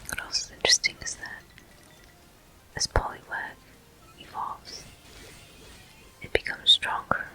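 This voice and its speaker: A person whispering a video game walkthrough in ASMR style, with soft, breathy, slow and deliberate delivery in short phrases. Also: faint music behind. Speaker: gameplay host.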